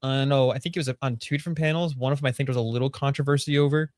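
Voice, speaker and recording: A man's voice: medium pitch, steady tone, very fast, dry recording. Chatty young man on a dry call. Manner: chatty, laughs often, rambling asides. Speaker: co-host. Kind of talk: casual live-stream chat.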